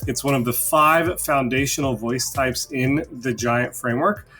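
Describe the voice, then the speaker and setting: A man's voice: mid-range voice, a little slow, sharp tone, soft background music. A man hosting a discussion podcast in a conversational style. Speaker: podcast host.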